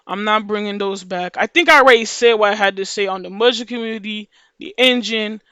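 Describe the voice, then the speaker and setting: A woman whose voice sits deeper than most women's, yet comes across smooth and bright. She talks to her viewers in a casual, slangy ramble, restarting and repeating phrases as she goes. The recording is close and dry. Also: brisk, dry recording. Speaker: video creator.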